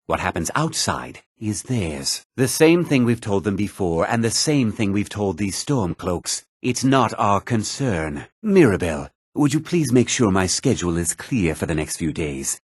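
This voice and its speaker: A husky, haughty fantasy game schemer. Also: male voice, on the low side, very animated, a little slow, few pauses, dry recording, studio quality, heavily acted. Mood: curt, aloof. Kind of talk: video game character dialogue.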